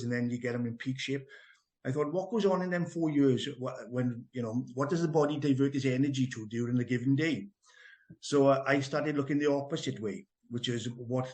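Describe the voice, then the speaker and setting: A man's voice: mid-range voice, a little mellow. South Welsh man telling his story. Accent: South Wales. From Merthyr Tydfil, Wales. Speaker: interview guest, health business owner and trainer. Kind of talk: conversational personal account.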